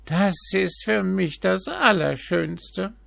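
A man's voice: high-pitched, takes it slowly, gravelly, a bit bright, pitched above the performer's own voice, heavily acted. A man doing a squawking greedy magpie. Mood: greedy, excited.

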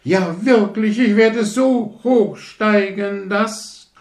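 A man's voice: mid-range voice, lively intonation, slow, a little mellow, pitched above the performer's own voice. A man voicing a vain, pompous firework rocket that boasts with haughty, affected grandeur in a storybook tale. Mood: triumphant. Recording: roomy echo.

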